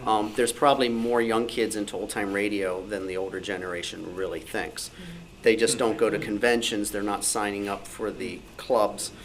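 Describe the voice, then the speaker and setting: A man's voice: fairly low pitch, steady tone, normal speed, hardly any pauses. A man speaking off the cuff as a panelist at a club meeting of radio hobbyists. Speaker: panelist, old-time radio fan. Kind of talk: unscripted panel discussion. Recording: noisy live meeting room recording.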